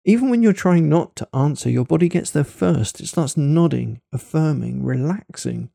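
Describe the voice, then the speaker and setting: A man leading a hypnosis session in a slow, deliberate, coaxing manner, shifting into rapid-fire questions. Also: fairly high pitch, animated, creaky, mellow, no room sound, clean studio sound. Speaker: hypnosis narrator.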